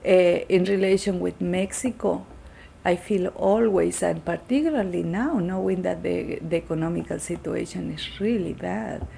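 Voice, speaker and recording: Very creaky-voiced woman with a Chilean accent. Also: fairly low pitch, moderate pace, warm tone, a little hiss or hum. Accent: Chilean Spanish. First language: Spanish. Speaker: interviewee. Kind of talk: reflective personal interview answers.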